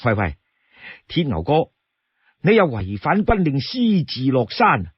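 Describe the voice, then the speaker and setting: A man's voice: medium pitch, wildly swooping pitch, a little slow, breathy edge, no room sound, full character voice. A man voicing a shrewd, exasperated younger outlaw in a storybook tale, firmly admonishing his companion. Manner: firm, admonishing, laying down rules. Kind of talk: Cantonese storytelling character voice. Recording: dull, close dry studio sound.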